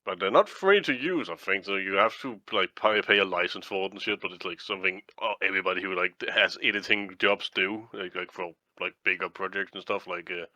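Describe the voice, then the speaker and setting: A man's voice: low voice, brisk, hardly any pauses, bright, forceful tone, dry recording. A man chatting casually while playing a game, rambling, swearing often and trailing off mid-sentence. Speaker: let's play co-host. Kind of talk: casual gaming chat.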